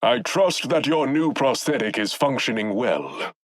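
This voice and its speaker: An actor voicing a proud warrior king in a video game, with an African accent and a measured, dignified, formal manner. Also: mid-range voice, lively intonation, lightly acted. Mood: solemn, assured.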